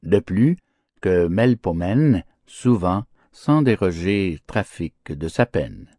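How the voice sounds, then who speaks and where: A man narrating fables as an audiobook, with declamatory, theatrical phrasing and an expressive literary delivery. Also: low voice, slow, no room sound, clean studio sound.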